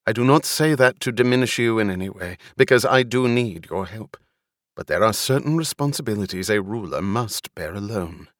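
Man as commanding noblewoman, stern, measured, formal, resolute, audiobook character, close dry sound. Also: fairly low pitch, animated, dry recording, lightly acted. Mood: firm, resolute. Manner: authoritative, formal, deliberate.

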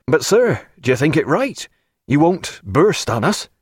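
Man doing a hearty, high-pitched old-navy sailor. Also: sing-song, unhurried, husky, dry recording, studio quality, heavily acted. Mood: hearty, concerned. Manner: rustic, deferential seaman's talk. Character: plain-spoken, hearty male sailors of an old warship's crew. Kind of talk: audiobook character voice.

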